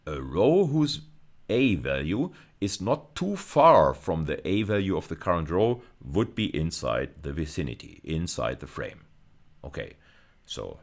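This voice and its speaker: A man giving an explanatory university lecture, methodical and repeating phrases for emphasis. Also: low voice.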